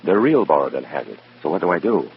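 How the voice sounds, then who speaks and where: An actor playing a tense undercover operative in an old radio drama, terse and hard-boiled, also narrating in the first person. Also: male voice, rumbling low, very animated, fast talker, gravelly, breathy edge, full character voice. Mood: anxious, urgent. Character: tense, cornered undercover operative posing as another man. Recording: dull, noisy vintage radio recording.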